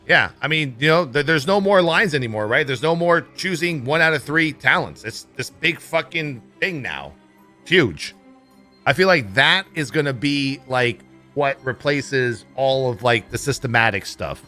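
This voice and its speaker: A man's voice: medium pitch, expressive, moderate pace, sharp tone, soft background music. A man giving casual, blunt, opinionated livestream commentary on gaming news, swearing freely as he goes. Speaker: livestream host.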